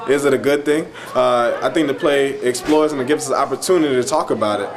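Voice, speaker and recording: A man's voice: mid-range voice, fast. An American man presenting spoken commentary, musing through rhetorical questions in a reflective way. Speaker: presenter discussing a play. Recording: busy crowd chatter behind.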